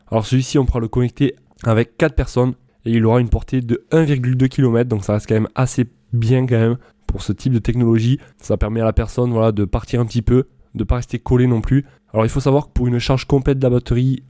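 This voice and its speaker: A man's voice: medium pitch, warm tone, no echo. A man presenting a product comparison in a casual, loosely improvised voice-over, explaining things informally.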